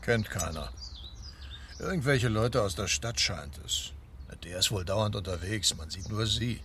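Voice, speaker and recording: A man's voice: fairly low pitch, unhurried, sharp tone, a little hiss or hum. A man playing an easygoing, teasing farmer neighbour in a radio drama, casual and laconic.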